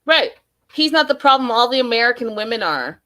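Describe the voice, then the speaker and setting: Bright, emphatic woman recap host. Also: fairly high pitch, sing-song, a little fast, no room sound, studio quality. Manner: chatty, emphatic, addresses viewers directly. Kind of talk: casual reality TV recap.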